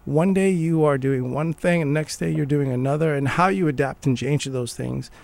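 Gravelly man in earnest conversation. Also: a little high, normal speed, darkish tone, no room sound. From Morocco. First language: Arabic. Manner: earnest, restarts phrases while thinking. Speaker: guest. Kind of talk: conversational interview.

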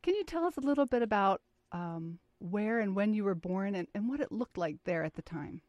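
A woman's voice: mid-range voice, expressive, brisk, darkish tone, dry recording, dull audio. Lady interviewer asking easy questions, medium voice, dry sound. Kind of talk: oral history interview.

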